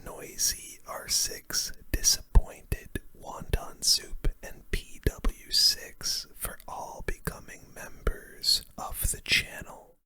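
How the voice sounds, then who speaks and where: A video game streamer giving casual, slangy gameplay commentary in a soft, unvoiced ASMR whisper. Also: slow pace, few pauses.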